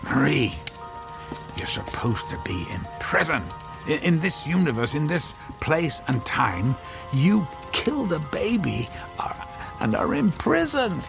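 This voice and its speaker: Anxious inventor husband played by a male actor, flustered, higher-voiced with gravelly edges, radio drama over music and rain. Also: sing-song, measured pace, heavily acted. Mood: agitated, urgent. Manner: hesitant, stammering, flustered. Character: anxious, remorseful husband and inventor of a dimension-hopping device. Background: loud music, rain.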